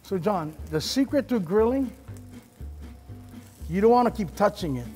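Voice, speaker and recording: A man's voice: high voice, expressive, normal speed, warm tone. A middle-aged man hosting as chef, giving a casual, encouraging cooking demonstration in a kitchen. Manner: instructing, encouraging. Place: studio show kitchen. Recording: music behind, sizzling pan.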